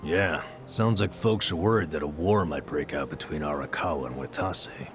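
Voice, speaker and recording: A deep-voiced, laid-back game character. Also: male voice, moderate pace, over music. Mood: wry. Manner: casual, wry, slangy. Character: laid-back, teasing, low-voiced man. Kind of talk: video game cutscene acting.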